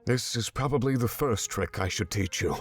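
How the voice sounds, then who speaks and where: An actor playing a stern, exacting swordsman mentor in a fantasy visual novel game, giving measured, commanding instruction. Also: medium pitch, husky, lightly acted. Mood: measured, guiding. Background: background music.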